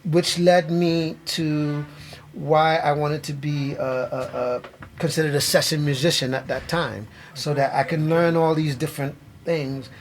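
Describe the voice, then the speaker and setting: Chatty middle-aged man, fairly high voice. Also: fairly even intonation, measured pace, slight background noise. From Trinidad and Tobago. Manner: rambling, many restarts, laughs easily. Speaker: drummer interviewed by bandleader. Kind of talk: casual interview chat.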